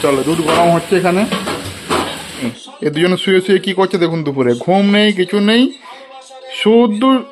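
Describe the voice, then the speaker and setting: A woman's voice: lowish voice, a little slow, long pauses. A young woman chatting casually for a family vlog and asking questions, recorded on a handheld phone. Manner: chatty, asks a child questions. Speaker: family vlogger, mother. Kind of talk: casual home vlog chat. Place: in and around a family home. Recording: noisy handheld home recording.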